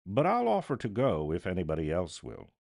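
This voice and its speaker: Man acting a bored, fat, flippant gentleman. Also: deep voice, huge pitch swings, a little fast, vocal fry, dry recording, clean studio sound, exaggerated voice. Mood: jovial, callous. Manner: bored, offhand, jocular. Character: great fat big-chinned gentleman, idle, callously flippant.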